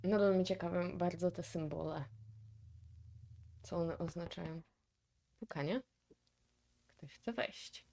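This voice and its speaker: A woman's voice: on the low side, takes it slowly, creaky, long pauses, warm tone. A woman with a Polish accent giving casual reaction commentary as a video host, musing and thinking aloud.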